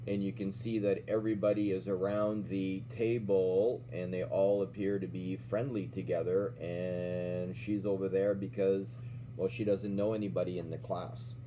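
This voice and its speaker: Slow-talking man reading a storybook. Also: on the low side. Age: middle-aged. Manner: checks in with questions, often ending "right?". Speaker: martial arts instructor reading to children. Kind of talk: storybook read-aloud with explanatory asides. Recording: dull lo-fi sound with faint hum.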